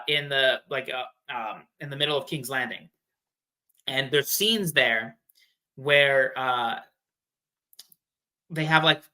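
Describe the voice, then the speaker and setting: A man with a very bright, sharp-edged voice at an average pitch talks through fan theories as a guest. He explains casually and playfully, with plenty of slang. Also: rough recording. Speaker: podcast guest.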